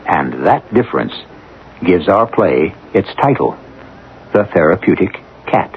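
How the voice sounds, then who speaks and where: A man hosting a radio drama, reading a scripted introduction in a formal, measured, theatrical manner. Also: deep voice, sing-song, slightly rough. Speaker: host and announcer. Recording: narrow-band, tinny vintage radio broadcast. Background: music.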